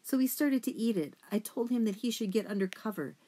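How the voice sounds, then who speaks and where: A woman's voice: a little high, animated, fairly quick, warm tone, breathy edge, pitched above the performer's own voice. An earnest young girl mouse from a storybook, voiced by a woman narrator. Her voice sits raised and anxious, and she speaks hesitantly, with a pleading distress. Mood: anxious, halting.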